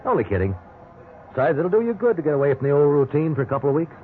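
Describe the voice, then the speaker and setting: Casting: easygoing American husband, middle-aged, animated folksy teasing, vintage radio sound. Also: male voice, a little high, rapid-fire, phone-quality sound. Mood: affectionate, teasing. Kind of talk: 1950s radio drama acting. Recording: band-limited 1950s radio recording with hiss.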